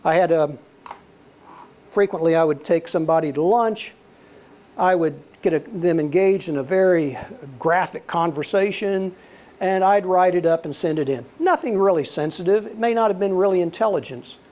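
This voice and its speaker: Older guy lecturing, soothing voice, a bit higher pitched, chatty storyteller vibe. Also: normal speed. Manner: relaxed storyteller, acts out quoted dialogue. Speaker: guest lecturer, former intelligence officer. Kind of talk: conversational lecture with anecdotes.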